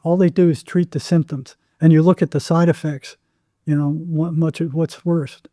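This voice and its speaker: A man talks as a podcast guest in a very dark, mellow voice that sits a little higher than average. The sound is close and dry, and he explains in anecdotes, leaning on repeated phrases for emphasis. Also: little pitch movement, moderate pace, no room sound. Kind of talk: anecdotal interview talk.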